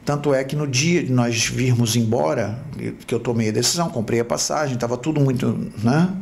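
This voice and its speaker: A middle-aged man from Rio with a Brazilian Portuguese accent, a podcast host telling his life story in a reflective, halting way. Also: mid-range voice, creaky, a little mellow. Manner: reflective, halting with repeated restarts. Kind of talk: personal storytelling.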